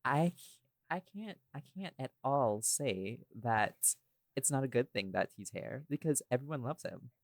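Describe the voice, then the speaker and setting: A man's voice: medium pitch, lively intonation, normal speed, dry recording, clean studio sound. A man chatting casually and expressively about his own audio work on a behind-the-scenes podcast. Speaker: audio drama creator, podcast host.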